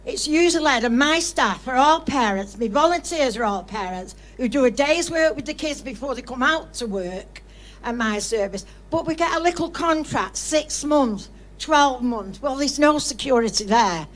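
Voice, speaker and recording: A woman's voice: high voice, lively intonation, normal speed. A woman speaking off the cuff as an audience member at a public Q&A, blunt and colloquial, making an impassioned case. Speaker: audience member, charity service leader. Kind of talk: impassioned unscripted testimony at a public Q&A. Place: indoor studio audience event.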